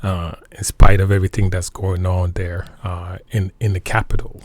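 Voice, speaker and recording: A middle-aged man from Montreal speaking as a guest in a personal interview, halting and repeating phrases for emphasis. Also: low-pitched, little pitch movement, no echo. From Montreal, Canada. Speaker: interview guest, military veteran.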